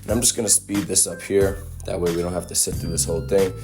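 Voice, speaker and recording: A young man with a slightly hoarse voice from a cold, giving a laid-back, chatty game walkthrough full of fillers. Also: low-pitched, steady tone, fast talker, music in the background. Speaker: gaming guide creator. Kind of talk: casual game walkthrough commentary.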